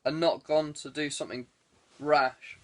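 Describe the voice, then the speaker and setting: A man's voice: fairly high pitch, flat delivery, a little slow, fairly bright tone. A man voicing an anxious, grave woman in an audiobook. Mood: worried. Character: worried, sombre woman. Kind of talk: audiobook character dialogue.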